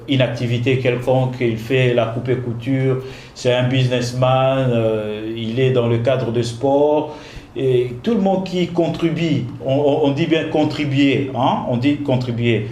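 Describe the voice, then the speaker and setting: A man's voice: mid-range voice, a little mellow. A man hosting a community interview show, talking in a hesitant way, repeating words and slipping in English phrases. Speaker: host. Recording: echoey room with some noise.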